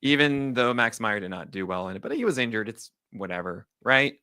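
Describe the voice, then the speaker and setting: Male podcast co-host, excitable and jokey, bright tense tone, close dry mic. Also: mid-range voice, a little fast, no room sound. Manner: animated, joking, lots of "like" fillers. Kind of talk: casual baseball analysis chat.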